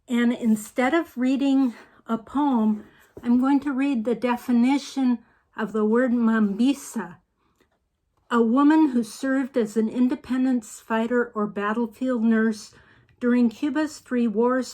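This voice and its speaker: An older woman author speaking in an unhurried, storytelling manner in a casual video message. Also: fairly high pitch, a little mellow.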